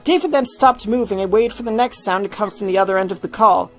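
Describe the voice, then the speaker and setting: A woman's voice: mid-range voice, quick pace, soft background music. A woman narrating a piece of fiction from a script, as a story reader.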